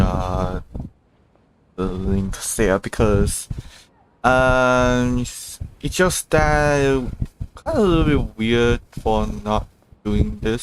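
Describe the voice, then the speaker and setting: A man's voice: mid-range voice, background noise. A man doing casual live game commentary as a streamer, in a hesitant, rambling way. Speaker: game livestreamer.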